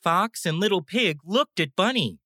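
A woman narrating a children's bedtime story in an expressive storytelling manner. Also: fairly low pitch, moderate pace, no echo, clean studio sound.

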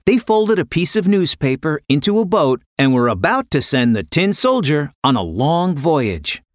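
A woman narrating a scripted children's story in an expressive, dramatic way. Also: deep voice, moderate pace, no room sound, clean studio sound.

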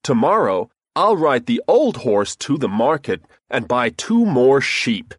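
A kind-hearted farmer speaks gently and reassuringly in a lowered voice, as a woman storyteller plays him. His speech is slow and a little husky, with a lively lilt. The recording is dry. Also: no room sound, heavily acted. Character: kind, generous middle-aged farmer man.

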